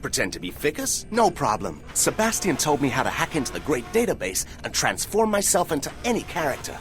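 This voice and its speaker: A man's voice: fairly high pitch, wildly swooping pitch, a little fast, soft background music. A man voicing an eager, glib young male robot reporter in a video game, inquisitive and bouncy. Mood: cocky, glib. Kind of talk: scripted game dialogue.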